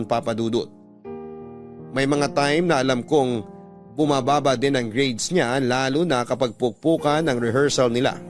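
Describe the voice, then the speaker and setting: A man giving scripted dramatic narration for a radio drama, reading a letter as storyteller. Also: mid-range voice. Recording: prominent music under the voice.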